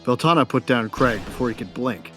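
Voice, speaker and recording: A man's voice: medium pitch, lively intonation, a little fast, fairly bright tone, faint music behind, lightly acted. A man playing a cocky, wry bounty hunter who narrates his own story in an audio drama. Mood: cocky, vicious. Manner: wry, self-satisfied. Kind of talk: first-person dramatic narration.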